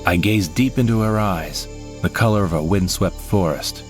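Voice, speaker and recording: A man voicing a male sidekick who narrates his own space adventure in a measured storytelling way. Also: low-pitched, natural voice. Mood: wry. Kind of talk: scripted audio drama narration. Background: background music.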